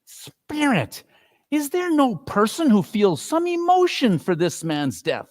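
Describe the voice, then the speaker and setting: A shaken miserly gentleman from a storybook, as a reader acts him out. He pleads earnestly and fearfully in a lifted voice with lively swings of pitch. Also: takes it slowly. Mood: pleading. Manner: earnest, imploring.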